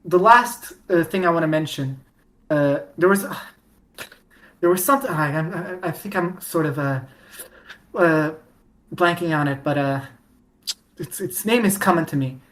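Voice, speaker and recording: Lowish voiced woman chatting on a fan podcast, stop-start, keeps restarting her phrases. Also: a little hiss or hum. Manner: halting, repeats and restarts phrases often. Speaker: podcast host. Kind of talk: casual fan discussion.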